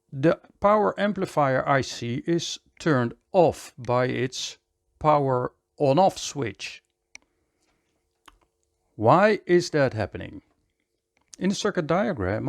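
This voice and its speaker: A man giving a hands-on electronics repair tutorial in a measured, step-by-step way, often repeating short phrases. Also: on the high side, gravelly.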